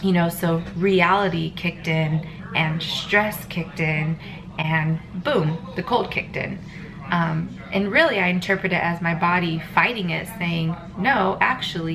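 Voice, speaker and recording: A woman with a stuffy head cold talking earnestly in a rambling personal vlog, with many pauses and ums. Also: lowish voice, noisy background. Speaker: family vlogger. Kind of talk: casual personal vlog monologue.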